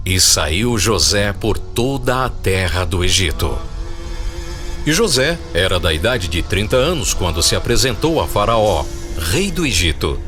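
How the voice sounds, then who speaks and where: A man's voice: lowish voice, fairly bright tone. A man narrating a dramatized audio Bible in a scripted storytelling style.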